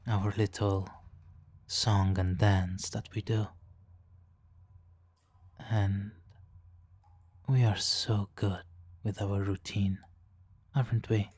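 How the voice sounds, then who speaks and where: A man playing a suave, teasing, hypnotically controlling supervillain in a soft, intimate ASMR roleplay, condescending and purring, breathy in places. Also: on the low side, level tone, dry recording, heavily acted. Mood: smug, teasing. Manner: condescending, purring, drawn-out pauses, soft chuckles.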